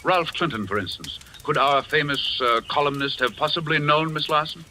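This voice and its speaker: An actor playing a shrewd, assured gentleman sleuth in an old radio mystery, with an urbane, probing manner. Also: fairly high pitch, normal speed. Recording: old radio recording, faint noise.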